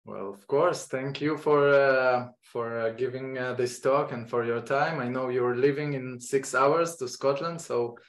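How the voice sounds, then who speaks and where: A man with an Israeli accent hosting an online talk, speaking haltingly with many fillers and restarts. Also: medium pitch, steady tone. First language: Hebrew. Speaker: host. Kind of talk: online lecture introduction. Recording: echoey room.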